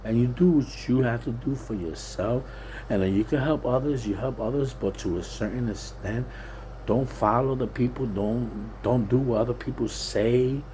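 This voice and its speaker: A man's voice: mid-range voice, moderate pace, dark tone, slight background noise, rough recording. A plain-spoken, streetwise Puerto Rican man giving a casual, rambling, repetitive monologue. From Puerto Rican, living in Pennsylvania, USA. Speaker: vlogger.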